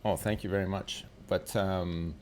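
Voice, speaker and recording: Forty-ish guy streaming, kinda low voice, rambly and self-deprecating, lots of fillers. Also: fairly even intonation, measured pace, darkish tone, a little hiss or hum. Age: 40s. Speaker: streamer host. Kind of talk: casual gaming stream chat.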